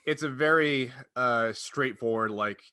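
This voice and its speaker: A man's voice: medium pitch, a little slow, a loud crowd. A man talking casually and jokily as a podcast host, explaining sci-fi game lore.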